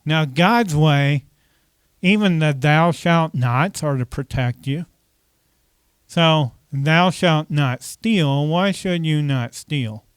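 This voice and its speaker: Pastor with a smooth, slightly high voice. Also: male voice, takes it slowly, no room sound. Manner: conversational, frequent "okay" and rhetorical questions. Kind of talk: interactive sermon with questions to the congregation.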